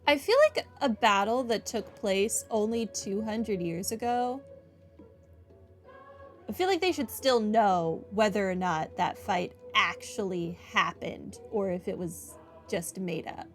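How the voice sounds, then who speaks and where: A woman's voice: medium pitch, slow. A woman giving casual, wry, conversational commentary as she plays through a game. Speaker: gaming streamer. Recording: game music faintly behind.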